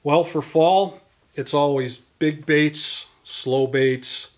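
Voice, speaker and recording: A man's voice: on the high side, steady tone, slow. Man in his fifties explaining tackle. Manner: detailed, explanatory, gesturing with products in hand. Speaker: fishing tackle company guest. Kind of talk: product explanation interview. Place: indoor studio in front of a banner.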